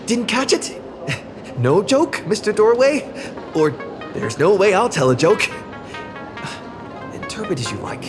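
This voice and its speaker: Dry snarky young game character, pitch jumps around, loud music behind. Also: very animated, takes it slowly, pauses often. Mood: exasperated. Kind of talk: video game voice acting.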